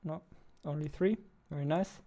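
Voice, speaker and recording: A man's voice: a little high, steady tone, moderate pace, dark tone. A man in his twenties giving casual commentary as he thinks aloud while working as a game developer. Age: 20s. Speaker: game developer, video host. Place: small indoor room at a computer.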